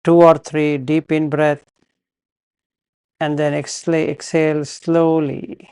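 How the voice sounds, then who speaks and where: A man guiding a meditation as a teacher, giving calm, measured instructions in short phrases with pauses between them. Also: on the high side, clear, resonant tone, no echo, clean studio sound.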